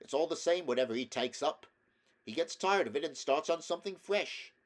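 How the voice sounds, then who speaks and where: A man voicing a chirpy water rat, raised pitch. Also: animated, a little fast, lightly acted. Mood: exasperated, gossipy. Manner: chirpy, chummy, exclaiming. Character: cheerful, sociable male water rat, easygoing host. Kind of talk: storybook character voice in a read-aloud.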